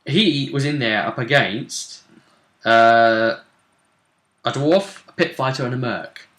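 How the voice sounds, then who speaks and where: A man's voice: medium pitch, slow pace, a bit bright. A man chatting casually as a game master, recounting the events of a tabletop role-play session. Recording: roomy, echoey sound.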